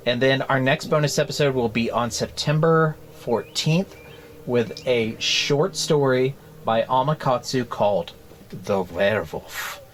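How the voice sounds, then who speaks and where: A man co-hosting a casual book club podcast, rambling through jokes in a deadpan way. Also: medium pitch, unhurried, a little hiss or hum, poor audio. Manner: joking, rambling deadpan bits. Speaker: podcast co-host.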